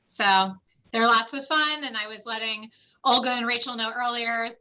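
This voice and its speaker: A woman walks through a webinar presentation in a clear, explanatory way, her voice sitting fairly high. The tone is bright and forward. She speaks over a video call. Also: fairly even intonation, moderate pace, rough recording. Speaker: clinical site director presenting a webinar.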